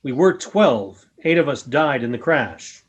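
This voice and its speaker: Woman playing a solemn, measured alien creature. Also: very deep voice, lively intonation, husky, exaggerated voice. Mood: grave. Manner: measured, formal. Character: solemn, courteous, unseen alien creature speaking through a robot. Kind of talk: radio play acting.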